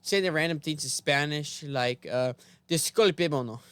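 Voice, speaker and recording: A man's voice: a little high, measured pace, fairly bright tone, clean tone, dry recording. A teenage boy co-hosting a casual homeschool podcast, goofy and jokey, fond of saying random things. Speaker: podcast co-host. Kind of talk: casual chat.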